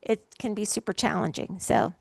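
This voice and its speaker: A woman's voice: medium pitch, moderate pace, creaky, darkish tone, breathy edge, no echo, clean studio sound. A woman moderating a real estate panel, explaining her points in a practical, direct way. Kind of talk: seminar panel talk.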